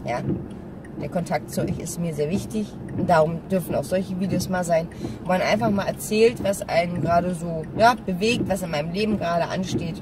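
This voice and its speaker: A woman's voice: lowish voice, a little fast. A woman chats casually and frankly to camera while driving, rambling and thinking aloud. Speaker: vlogger. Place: inside a moving car. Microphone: camera on the dashboard. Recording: loud car road noise, distant mic. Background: engine and road noise.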